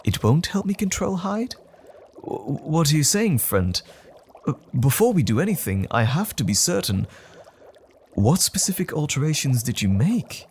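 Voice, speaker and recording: Man acting an anxious, expressive gentleman scientist. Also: on the high side, normal speed, slight background noise. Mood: uneasy, questioning. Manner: hesitant, stammering, earnest. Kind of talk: dramatic audio roleplay.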